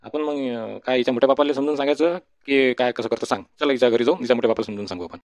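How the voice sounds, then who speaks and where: Man as a quick-talking, big-hearted young villager. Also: medium pitch, a little mellow, no room sound, lightly acted. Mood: concerned. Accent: Varhadi Marathi. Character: generous, easygoing young village do-gooder. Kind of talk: comedy cartoon dialogue. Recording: dull, lo-fi sound.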